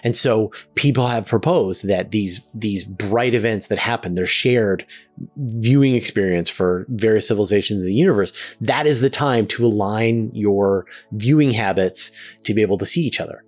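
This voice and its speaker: A man's voice: on the low side, normal speed. A man hosting a science show, explaining things to viewers in a casual, conversational way. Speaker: host of an astronomy Q&A show.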